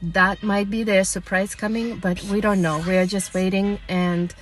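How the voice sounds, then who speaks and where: A woman from Kazakhstan, interviewed, talking calmly and plainly with some fillers. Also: mid-range voice, normal speed. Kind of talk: casual interview.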